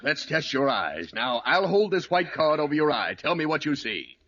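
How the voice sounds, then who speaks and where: Sarcastic, wildly inflected radio official. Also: male voice, on the high side, moderate pace, heavily acted. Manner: deadpan, wry, increasingly exasperated. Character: put-upon, sarcastic male license examiner. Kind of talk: 1940s-50s radio sitcom acting. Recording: noisy, dull old radio recording, audience.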